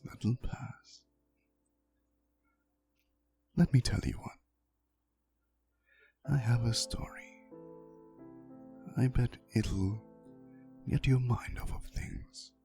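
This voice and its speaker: A man playing an ancient vampire boyfriend in an intimate ASMR roleplay, soothing and unhurried, gently teasing and fond as he tells stories. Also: on the low side, gravelly, full character voice. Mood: tender, teasing.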